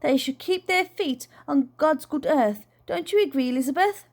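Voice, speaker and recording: A woman voicing a bossy, no-nonsense storybook aunt, brisk, opinionated and full of disapproval. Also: high-pitched, pitched above the performer's own voice. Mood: scornful.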